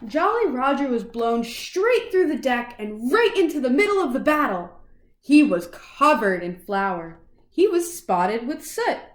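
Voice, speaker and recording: A woman reading a children's picture book aloud in an expressive, dramatic way for young listeners. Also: sits high, measured pace, echoey room. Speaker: children's storybook reader.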